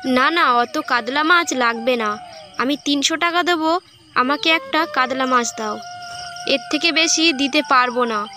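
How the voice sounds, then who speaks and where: Woman doing a silly young housewife for a cartoon, high pitched, gets hurt easy, music behind. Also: measured pace. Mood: bargaining. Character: naive, easily hurt young Bengali housewife. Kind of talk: amateur cartoon story voice acting.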